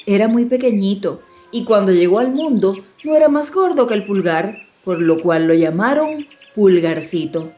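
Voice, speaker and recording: Woman reading a kids fairy tale, mid pitch, measured and expressive, quiet music behind. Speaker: audiobook storyteller. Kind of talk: scripted dramatized fairy-tale narration.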